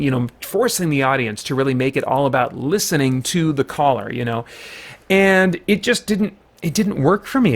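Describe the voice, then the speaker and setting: A man talking through a film review in a casual, conversational way, rambling a little as he explains his opinions. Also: fairly high pitch. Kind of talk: casual spoken movie review.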